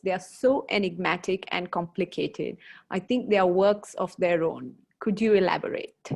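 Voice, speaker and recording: A woman moderating an online talk, calmly reading out audience questions and adding her own comments as a writer. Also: mid-range voice, vocal fry. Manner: measured, relaying questions politely.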